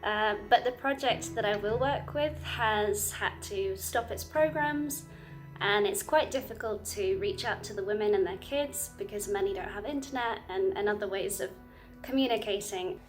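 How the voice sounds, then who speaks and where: A woman's voice: mid-range voice, normal speed, soft background music. Young woman from North Yorkshire. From England, North Yorkshire. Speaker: short-term mission worker. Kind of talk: recorded personal update.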